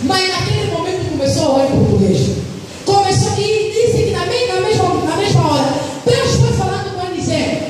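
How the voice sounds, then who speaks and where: A woman's voice: very high-pitched, lively intonation, fairly quick, a crowd in the background. A woman missionary preaching a sermon in a church, telling a testimony earnestly and calling on the congregation for amens. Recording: echoey hall, distant mic, background noise.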